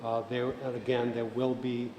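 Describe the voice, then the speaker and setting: A man giving an informal hands-on technical tutorial as an instructor, speaking deliberately and methodically with pauses mid-sentence. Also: mid-range voice, monotone, highs cut off, a crowd in the background. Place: indoor set room by a theater stage. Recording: roomy echo with faint background noise.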